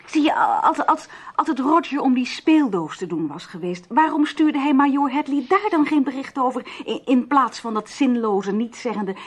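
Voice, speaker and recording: A woman's voice: a little high, slightly rough. An actress playing an earnest, puzzled woman in a radio play, hesitating and stammering as she reasons things out. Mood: doubtful, insistent. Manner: hesitant, stammering over words, questioning. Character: earnest, puzzled woman investigating her brother's mystery.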